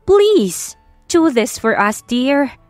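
A woman's voice: sits high, big pitch swings, drawn-out words, darkish tone, no room sound, voice pushed up, full character voice. A woman voicing a firm, reproachful middle-aged mother with a Filipino accent in an audio drama. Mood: hurt pleading. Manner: reproachful, insistent. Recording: music behind the voice.

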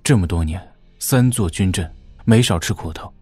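A man's voice: low-pitched, normal speed, dark tone, slightly rough, dry recording, faint music behind. An actor playing a shrewd, obsequious warlord who reports deferentially and colloquially to his overlord in an audio drama. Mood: shrewd, informative.

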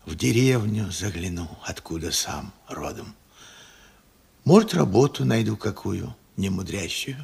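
An actor playing a regretful, stubborn old working man in a radio play, plain-spoken and reflective, with halting speech. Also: deep voice, lively intonation, darkish tone, exaggerated voice. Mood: weary, resolved. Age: elderly. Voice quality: husky, creaky old voice. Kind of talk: Soviet radio play acting.

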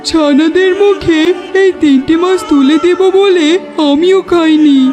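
Father duck for kids' cartoon, acted: very high, anxious, protective, earnest, pleading; light music underneath. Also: unhurried. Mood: weary. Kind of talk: children's cartoon voice acting.

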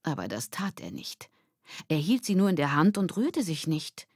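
A woman's voice: low-pitched, big pitch swings, moderate pace, darkish tone, no room sound, clean studio sound. A woman reading a children's novel aloud as scripted audiobook narration.

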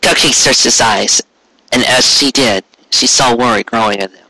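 A man's voice: mid-range voice, normal speed, bright, forceful tone, dry recording, low-quality recording. A man reading a story aloud as an amateur narrator, in a theatrical, earnest storytelling style. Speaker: amateur fan fiction reader.

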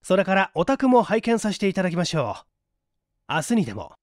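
A composed male detective voiced by a man asks questions with courteous, businesslike assurance in a mystery audiobook. His pitch is high and varied, and the sound is dry and close. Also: very animated, a little fast, no echo. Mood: composed, assured.

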